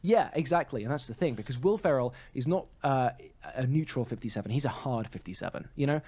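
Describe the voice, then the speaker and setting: A man's voice: mid-range voice, lively intonation, fast, mellow, husky. Rambling man in casual podcast chat. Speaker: podcast co-host. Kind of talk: casual film review chat.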